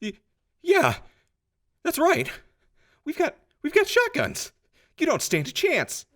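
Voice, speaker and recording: Nervous male guard, high and swooping, stammering false bravado for audio drama. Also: wildly swooping pitch, takes it slowly, a bit bright, exaggerated voice. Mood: scared bravado. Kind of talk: post-apocalyptic audio drama acting.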